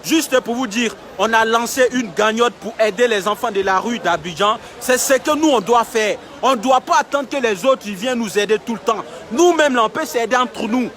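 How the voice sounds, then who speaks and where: A man's voice: very high voice, normal speed, few pauses, fairly bright tone, a faint crowd. A young man livestreaming outdoors on his phone, talking emphatically and repeating himself as he urges viewers. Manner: emphatic, repetitive, urging viewers. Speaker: live-streaming vlogger. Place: outdoors in a city street camp. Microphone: phone held while filming.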